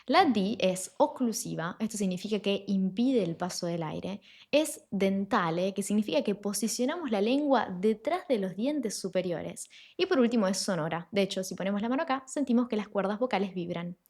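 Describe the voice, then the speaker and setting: Woman teaching pronunciation clearly. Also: mid-range voice, expressive, a little mellow. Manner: clear, explanatory, slows down to model sounds. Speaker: Italian language teacher. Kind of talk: online pronunciation lesson.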